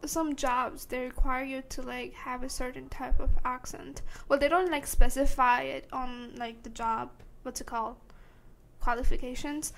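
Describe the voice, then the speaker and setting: A woman's voice: sits high, fairly even intonation, moderate pace, vocal fry, a bit bright, low-quality recording. A young woman hosting a casual podcast, talking hesitantly with lots of fillers. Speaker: podcast host.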